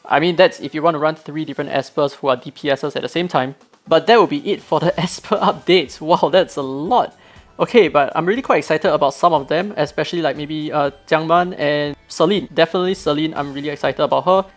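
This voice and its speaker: A hoarse man reading out game patch notes, then breaking in with his own eager opinions, as a gaming video creator. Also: a little high, a little fast, faint music behind.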